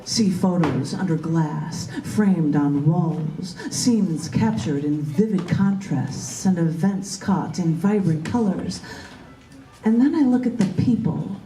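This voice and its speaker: A woman's voice: lowish voice, animated, slow, warm tone, soft background music. A woman poet at an open mic, reading her work and chatting casually. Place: large bookstore open mic, indoors. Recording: echoey room, distant microphone.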